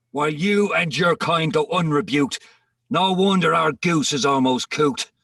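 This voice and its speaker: A man's voice: fairly high pitch, pushed and bright, dry recording, recorded from a distance, exaggerated voice. A man reciting comic verse as a bitter, bawdy husband, theatrical and scornful. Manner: scornful, theatrical, relishing the insults. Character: bitter, bawdy old Irish husband ranting in court.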